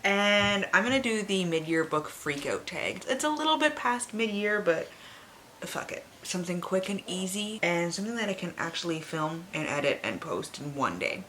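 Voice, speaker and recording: Lower-pitched woman, chatty and noisy background. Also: fairly bright tone. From Canada. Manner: informal, sweary, emphatic opinions. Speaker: book reviewer, video host. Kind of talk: casual chatty vlog.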